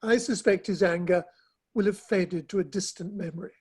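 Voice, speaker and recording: A woman playing a curious, sensible Victorian confidante in a play reading, polite, measured and gently probing. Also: fairly low pitch, slightly rough. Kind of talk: online dramatic play reading.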